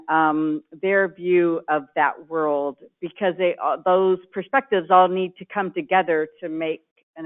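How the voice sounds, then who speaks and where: A man reporting his table's ideas to a conference room, pausing often with ums. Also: high-pitched. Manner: halting, with frequent ums. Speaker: table spokesperson at a workshop. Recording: tinny phone-like sound.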